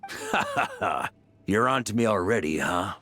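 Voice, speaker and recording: A man's voice: fairly low pitch, huge pitch swings, moderate pace, bright, forceful tone, full character voice. A man playing a bold, seasoned knight commander in a video game, wry, confident and unflappable. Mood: amused. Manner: easygoing, confident. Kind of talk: video game hero acting.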